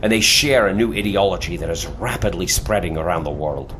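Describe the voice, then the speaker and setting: An actor playing a courteous, confident leader of secret agents in an adventure audio drama, speaking in a genteel, theatrical way. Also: male voice, deep voice, fairly quick, fairly bright tone, a little hiss or hum. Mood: earnest, persuasive.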